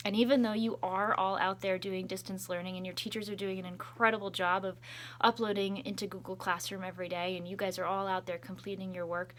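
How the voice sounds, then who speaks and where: A woman's voice: mid-range voice, flat delivery, a little fast, a bit bright. A woman reading a children's picture book aloud expressively, adding chatty asides as a school storytime reader.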